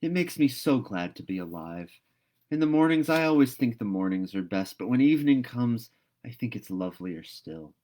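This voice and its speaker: A man voicing a dreamy, talkative young girl from a storybook, in a gushing, expressive way. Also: mid-range voice, normal speed, pitched above the performer's own voice, lightly acted. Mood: dreamy delight.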